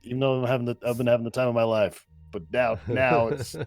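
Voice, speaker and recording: A man chatting playfully as a player in a tabletop game, excitable and jokey. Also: medium pitch, quick pace, no echo, soft background music. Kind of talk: casual, playful game chat.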